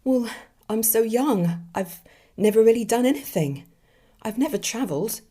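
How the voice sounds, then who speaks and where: A young woman wronged in death, played by a woman storyteller, pitched high and full of indignant self-pity. Her protests swing dramatically, plaintive and shrill. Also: expressive, moderate pace, mellow, slightly rough. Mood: plaintive, defensive. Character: young dead woman, indignant, self-pitying poisoning victim. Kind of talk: dramatic short story reading.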